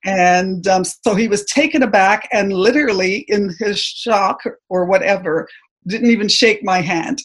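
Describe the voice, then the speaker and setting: She has a husky, breathy voice of middle pitch. A middle-aged woman from Canada with no accent speaks slowly and haltingly. She shares a personal testimony in a church service. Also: rough recording. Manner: hesitant, halting, many ums. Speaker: church member giving testimony.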